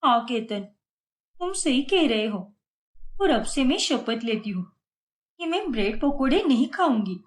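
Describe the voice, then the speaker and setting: Young cartoon daughter-in-law, woman performer: high, very animated, whiny, pleading, self-pitying and dramatic. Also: measured pace, long pauses. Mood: contrite. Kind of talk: Hindi moral-story cartoon voice acting.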